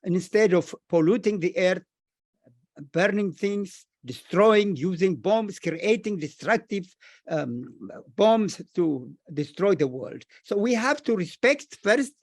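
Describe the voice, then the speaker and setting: A man speaking earnestly and deliberately as a panelist in a reflective discussion, repeating phrases for emphasis. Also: high-pitched, dry recording. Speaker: panelist, scholar.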